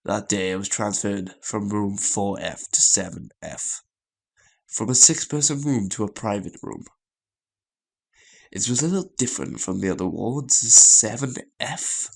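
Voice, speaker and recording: A man reading a visual novel story aloud as a gaming host, in a halting way with stumbles and repeated phrases. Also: on the low side, expressive, vocal fry.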